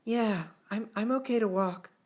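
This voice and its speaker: A man's voice: sits high, a little slow, voice pushed up. A man reading as a wry young woman soldier. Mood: shaky.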